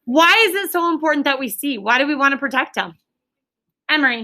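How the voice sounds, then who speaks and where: A woman's voice: high voice, lively intonation, fast, bright, forceful tone. A woman elementary school teacher leading an interactive lesson, asking questions and repeating answers with emphasis in an instructive way.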